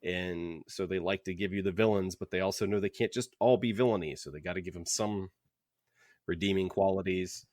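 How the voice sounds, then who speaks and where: A man's voice: low voice, a little fast, a little mellow, dry recording, clean studio sound. A man co-hosting a podcast, chatting casually and offering opinions with joking asides. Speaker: podcast co-host. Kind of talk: casual book club discussion.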